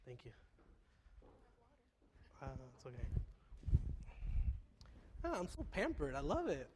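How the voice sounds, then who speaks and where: Man, pastor, sermon, stuffy nasal voice, fairly high pitch, reverberant church hall. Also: wildly swooping pitch, takes it slowly, noisy background. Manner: conversational, self-deprecating humor. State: congested, nasal. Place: church sanctuary.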